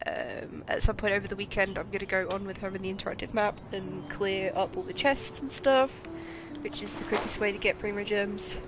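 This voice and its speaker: A woman's voice: mid-range voice, steady tone, brisk. A woman streamer giving chatty, rambling commentary while playing a game, swearing now and then. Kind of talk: casual gameplay commentary. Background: game music.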